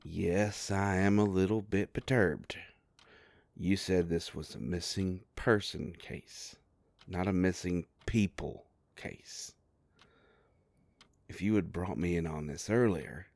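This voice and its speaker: Man acting as male werewolf private eye, low-pitched, sardonic, gruff but soft-hearted, audio drama, very dry close sound. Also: no room sound, heavily acted. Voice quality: low gravelly voice. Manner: sardonic, grumbling, conversational. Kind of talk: first-person audio roleplay drama.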